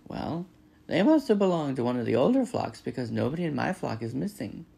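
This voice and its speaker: A man voicing an old gray female goose in a storybook, brisk, scolding and bossy, with indignant, emphatic squawking. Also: medium pitch, sing-song, gravelly, dark tone, breathy edge, pitched above the performer's own voice, exaggerated voice. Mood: brusque, indignant.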